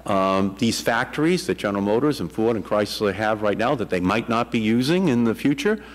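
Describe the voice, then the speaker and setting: A man's voice: fairly low pitch, animated, fairly quick, big-room sound. A man in his sixties chairing a congressional hearing, asking questions with many fillers and restarts, speaking into a desk microphone in a large hearing room. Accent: Boston. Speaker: committee chairman.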